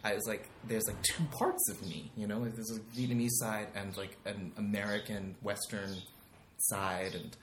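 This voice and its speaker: Guy on a podcast, kinda low voice, says like a lot, roomy sound w some hiss. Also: level tone, moderate pace. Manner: rambling, lots of likes and ums. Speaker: podcast guest, songwriter. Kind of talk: casual chat. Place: living room.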